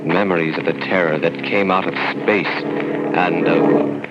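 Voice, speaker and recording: A deep-voiced male announcer speaks slowly and with menace, his gravelly tone swooping for dramatic effect. The old broadcast sound is dull and lo-fi, with loud music behind him. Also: steady tone, heavy background noise. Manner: slow, ominous and theatrical.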